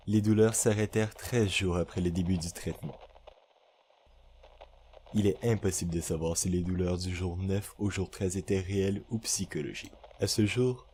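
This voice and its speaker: Male narrator, low-pitched, formal and measured delivery of a clinical horror audio log, faint ambient noise behind. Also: warm tone.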